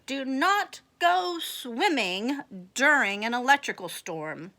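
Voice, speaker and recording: A woman voicing an earnest male police officer from a picture book, reciting safety tips in a deliberate, instructive way. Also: sits high, very animated, bright, forceful tone, exaggerated voice. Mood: cautioning.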